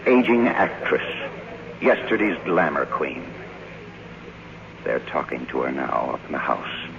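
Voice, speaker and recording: A man's voice: rumbling low, big pitch swings, a little slow, vocal fry, crowd noise, exaggerated voice. An actor playing a cynical, world-weary screenwriter in a 1950s radio drama, sardonic and blunt, narrating his own downfall. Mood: wry, weary narration. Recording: muffled, noisy 1951 radio broadcast.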